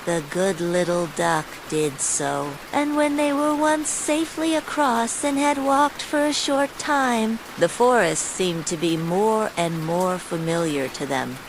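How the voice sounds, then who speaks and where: Unhurried lower-pitched woman storyteller over heavy rain. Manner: calm, soothing. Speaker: bedtime story narrator. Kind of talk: scripted fairytale audiobook narration. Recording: steady rain noise over the voice. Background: rain sounds.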